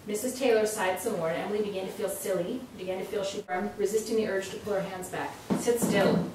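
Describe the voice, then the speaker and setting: A woman's voice: mid-range voice, steady tone, fast talker, a little mellow. Woman reading fiction in very echoey room. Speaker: author reading at a literary salon. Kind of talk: live literary short story reading. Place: indoor salon event, recorded live. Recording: very echoey room, distant mic, faint noise.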